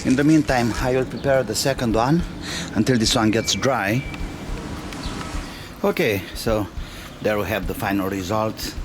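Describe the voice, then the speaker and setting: A man who speaks English as a second language, hosting a casual hands-on tutorial in simple, halting step-by-step phrases. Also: lowish voice, background noise. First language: not English. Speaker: DIY tutorial host. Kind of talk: casual hands-on how-to narration. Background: music.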